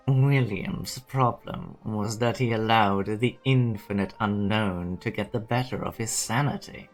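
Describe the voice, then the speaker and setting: A man playing a cold, logical, condescending scientist in a fan dub, with a measured, cynical manner. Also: lowish voice, a bit bright, poor audio. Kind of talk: dramatic fan-dub voice acting.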